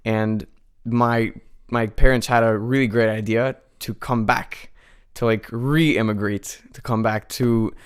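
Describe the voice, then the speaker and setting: A man's voice: mid-range voice, fairly even intonation, measured pace, smooth. A nineteen-year-old man from the United States, a guest chatting casually in a radio studio, with lots of fillers and restarts. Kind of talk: casual interview chat.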